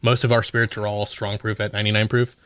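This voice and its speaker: A man with a fairly low voice talks in a loose, rambling way with many fillers. He is a bartender who is a guest on a casual podcast. The sound is dry. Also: little pitch movement, fast, clean tone, no room sound. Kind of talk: casual chat.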